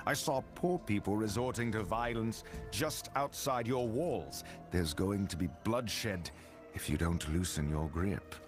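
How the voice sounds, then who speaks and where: A low-voiced, battle-ready male adventurer played by a male actor in a fantasy game. He is blunt and earnest, pressing his warnings with grim urgency. Music plays clearly behind him. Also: a little slow, lightly acted. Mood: urgent warning.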